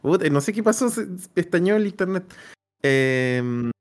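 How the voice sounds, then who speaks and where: A man's voice: on the high side, lively intonation, normal speed. A man with a Chilean accent co-hosting a live stream on a video call, chatting casually and repeating himself for emphasis. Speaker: co-host of a role-playing live stream. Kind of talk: casual chat. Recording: music playing behind the voice.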